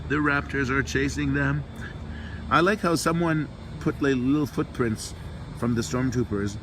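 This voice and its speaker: A man's voice: mid-range voice, measured pace, background noise. A tired man who is losing his voice, rambling offhand through a handheld walkthrough of a big convention build. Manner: rambling, offhand, trails off mid-sentence. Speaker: diorama workshop host. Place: convention hall floor. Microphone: handheld phone camera.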